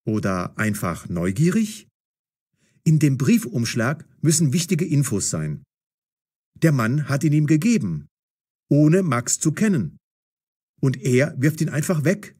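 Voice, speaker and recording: A male narrator with a husky edge tells a scripted story at a slow pace. His intonation is very lively, and each phrase is deliberately and clearly pronounced. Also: medium pitch. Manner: deliberate, clearly enunciated, expressive.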